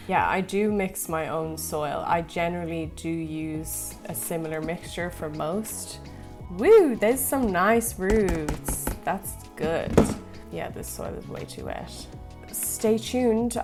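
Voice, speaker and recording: A young woman from Ireland with a slightly American-tinged accent, chatting casually and rambling through viewer questions. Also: medium pitch. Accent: slightly American-tinged Irish. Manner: rambling, light laughs, filler-heavy. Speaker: plant vlogger. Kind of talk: chatty Q&A while repotting.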